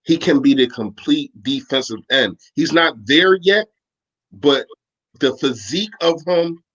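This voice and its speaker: A man co-hosting a postgame sports talk show, animated, stumbling over his words and restarting. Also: on the high side, a little slow, dull audio. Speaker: sports podcast co-host.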